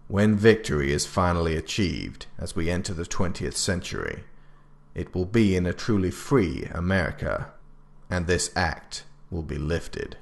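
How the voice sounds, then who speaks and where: A man playing a grave, authoritative government director, reading a formal, measured handbook account in an audio drama. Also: low-pitched, animated, vocal fry, heavily acted. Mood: resolute, stern. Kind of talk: scripted dramatic narration.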